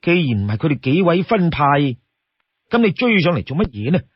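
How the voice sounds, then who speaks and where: A man plays a shrewd young outlaw from a storybook, exasperated and firm as he lays down rules. The voice is husky and admonishing, close and dry, with a slightly dull sound. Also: a little high, big pitch swings, a little fast, no echo. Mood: puzzled. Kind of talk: Cantonese storytelling character voice.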